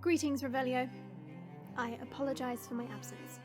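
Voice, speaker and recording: A woman playing a composed, kindly young dragon princess in an audio drama, with a gentle and polite manner. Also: high-pitched, fairly even intonation, moderate pace, lightly acted. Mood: formal, resigned. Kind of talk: audio roleplay drama acting. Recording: music behind the voice.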